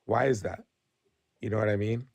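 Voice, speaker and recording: A man with a low, gravelly voice, who grew up Nigerian, speaks bluntly in a casual podcast debate. He restarts his sentences often and keeps checking in with "right?". The sound is dry and close to the mic. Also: normal speed, darkish tone, dry recording. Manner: candid, blunt, frequent restarts and "right?" tags.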